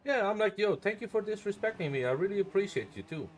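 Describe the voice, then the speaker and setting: A man's voice: sits high, expressive, quick pace, a little hiss or hum. A man streaming an online role-play game, chatting casually and telling stories with lots of swearing and repeated phrases. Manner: profane, chuckling, repeats himself. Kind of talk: casual in-game chat and storytelling.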